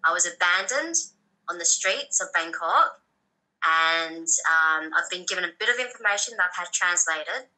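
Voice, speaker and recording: A woman in her thirties, from Thailand and raised in Australia, answering interview questions in a soft, hesitant way with frequent pauses. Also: fairly low pitch, expressive, poor audio. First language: Thai. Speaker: guest. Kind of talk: personal story interview.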